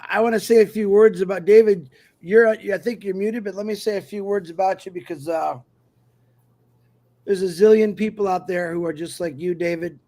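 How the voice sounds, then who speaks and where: A co-host warmly sings a guest's praises on a live stream. His voice is high for a man, and his delivery stays upbeat.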